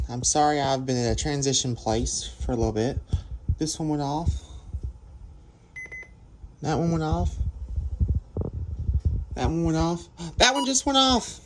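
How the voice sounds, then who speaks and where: A man's voice: fairly high pitch, noisy background. Rambling young man filming on his phone. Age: young adult. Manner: rambling, excitable, apologetic. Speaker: vlogger. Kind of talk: casual off-camera commentary. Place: home workshop. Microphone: phone camera held by the filming speaker.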